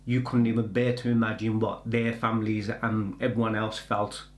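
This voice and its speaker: A middle-aged man giving a casual film trailer review in halting, rambling explanations. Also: on the low side, flat delivery, a little mellow. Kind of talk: casual reaction chat. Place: indoor room with bookshelves. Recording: echoey indoor room.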